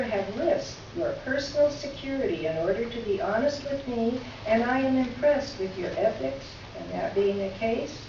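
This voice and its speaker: A woman playing a gracious, wry letter writer in a staged reading, speaking in formal, articulate phrases. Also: on the high side. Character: witty, gracious woman writing a candid letter. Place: echoey indoor room, recorded from afar. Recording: echoey room, distant mic, muffled, faint noise.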